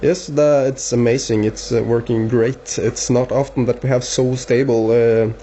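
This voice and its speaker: A man with a Norwegian accent chatting casually as a radio operator, halting and repeating words. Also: medium pitch, steady tone, mellow. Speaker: amateur radio operator filming the contact. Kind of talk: casual two-way radio chat. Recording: dull lo-fi camera sound, faint hiss.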